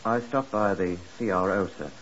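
An actor playing an earnest, dutiful young police constable in a 1950s radio crime drama, respectfully and carefully reporting. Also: male voice, fairly low pitch, moderate pace, fairly bright tone, close to an everyday voice. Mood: discreet, urgent. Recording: muffled, hissy 1950s radio broadcast.

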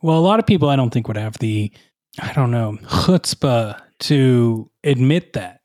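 A man's voice: medium pitch, expressive, a little slow, darkish tone, dry recording, studio quality. A man hosting a podcast, interviewing a comedy character in a playful, wry way. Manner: playful, wry, goes along with the bit. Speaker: podcast host.

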